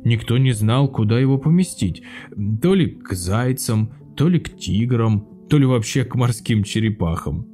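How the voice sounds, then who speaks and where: A man, an actor, narrating a children's fairy tale in a lively, expressive way. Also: medium pitch, normal speed, soft background music. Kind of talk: scripted storybook narration.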